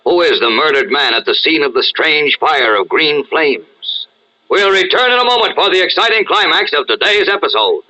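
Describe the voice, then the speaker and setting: A man narrating in a dramatic, scripted old-time radio serial style, with a husky voice. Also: fairly high pitch, lively intonation, moderate pace, sharp tone, low-quality recording.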